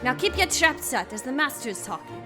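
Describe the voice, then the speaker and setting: Woman acting a high, animated scolding maid. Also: brisk. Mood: exasperated. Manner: brusque, expressive. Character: bossy, scolding female servant, brash and exasperated. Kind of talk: dramatic fan dub acting. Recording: music behind.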